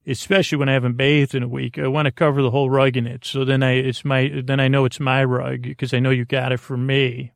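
A man's voice: mid-range voice, monotone, fast, gravelly, few pauses, dry recording, studio quality, close to an everyday voice. A man voicing a contented, chatty female pet dog in a rambling, matter-of-fact way for a podcast story.

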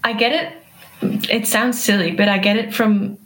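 A young woman from Belgium whose first language is Dutch, a musician speaking in a casual interview, soft-spoken and hesitant, laughing often. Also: medium pitch, fairly even intonation, a little slow, rough recording. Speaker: musician guest.

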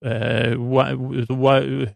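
A man hosting a bedtime podcast in a meandering, halting monologue that trails off into tangents. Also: mid-range voice, flat delivery, gravelly, dry recording. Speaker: podcast host.

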